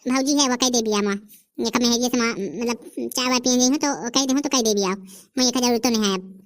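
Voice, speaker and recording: A woman's voice: on the high side, brisk, close to an everyday voice. A woman voicing a chatty, teasing village character in a comedy cartoon, with a Bundeli accent.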